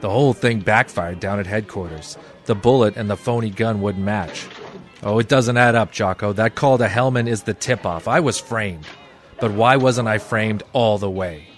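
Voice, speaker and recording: A man playing a hardboiled, cynical private detective in 1940s noir radio style, terse, tough and deadpan. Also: fairly low pitch, moderate pace, faint music behind, close to an everyday voice. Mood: urgent, impatient.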